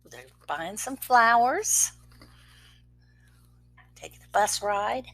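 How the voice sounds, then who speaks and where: A woman reading a picture book aloud to children, expressive, with soft whispered asides between the verses. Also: mid-range voice, slow. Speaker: children's story reader.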